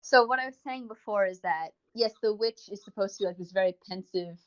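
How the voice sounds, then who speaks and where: A woman's voice: mid-range voice, brisk. A woman co-hosting a podcast, giving casual, wordy commentary in an informal, rambling way. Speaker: podcast co-host. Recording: dull lo-fi voice recording.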